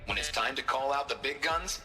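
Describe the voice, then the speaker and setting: A man playing a slick, confident military hardware announcer in a video game, reading a punchy scripted sales pitch. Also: expressive, brisk, sharp tone, faint music behind. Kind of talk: scripted promotional narration.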